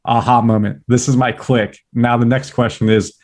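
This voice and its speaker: A young man from California, a podcast guest, chatting upbeat and fast with lots of fillers. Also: mid-range voice, level tone, darkish tone. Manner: upbeat, talks fast in bursts, many "like" fillers. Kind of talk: casual interview chat.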